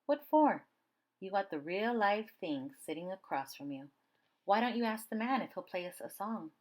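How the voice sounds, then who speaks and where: Lady doing a feisty old grandma from a picture book, folksy n loving scolding, super animated. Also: medium pitch, normal speed. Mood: brisk, wise. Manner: folksy, emphatic, gently scolding. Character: spirited old grandmother, brisk, wise and loving.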